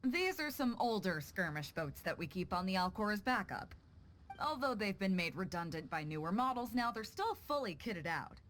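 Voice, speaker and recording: A woman's voice: mid-range voice, sing-song, normal speed, a bit bright, a little hiss or hum. A young woman playing a bold, teasing, good-hearted female sea captain in a video game, speaking with confident, playful command. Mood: confident, instructive.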